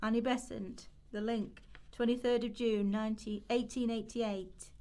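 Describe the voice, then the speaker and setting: Female reader for radio play quotations, fairly high voice, scripted dramatic delivery. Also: steady tone, a little slow, mellow.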